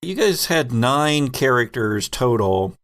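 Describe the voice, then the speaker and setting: A man's voice: medium pitch, sing-song, slow, fairly bright tone. Male podcast host and game master, mid-range voice, informal roundtable conversation. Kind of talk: casual roundtable chat.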